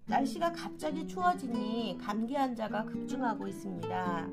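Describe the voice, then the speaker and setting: A woman with a slightly hoarse throat from a cold explains a monthly fortune reading as a host, haltingly, with frequent filled pauses. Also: on the high side, lively intonation, over loud music. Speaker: fortune-telling channel host. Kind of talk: explanatory monthly horoscope talk.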